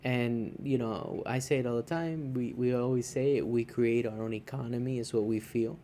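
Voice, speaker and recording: Man, podcast co-host, mid-pitched, warm mellow tone, chatty, restarts sentences. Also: steady tone, moderate pace, a little hiss or hum. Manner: conversational, checks in with "right?", restarts often. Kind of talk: casual podcast chat.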